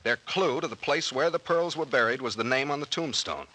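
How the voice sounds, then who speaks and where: An actor playing a confident detective captain in a 1940s radio drama, brisk and slangy as he lays out a mystery. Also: mid-range voice, sharp tone, poor audio. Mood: explaining, assured.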